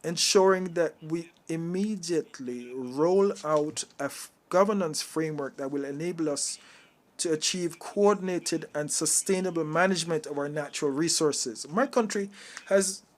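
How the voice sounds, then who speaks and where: A man giving a live interpretation of a formal political speech, in halting phrases with uneven bursts. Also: a little high. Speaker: simultaneous interpreter.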